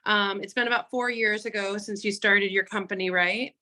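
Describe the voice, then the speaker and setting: A woman's voice: medium pitch, steady tone, moderate pace, bright, forceful tone. A woman hosting an online interview in a chatty, encouraging, conversational way. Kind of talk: casual interview chat.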